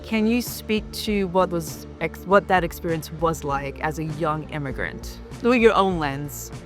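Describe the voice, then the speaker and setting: Low-voiced woman hosting an interview. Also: measured pace, faint music behind. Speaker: interview host. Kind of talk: interview questions and scripted introduction.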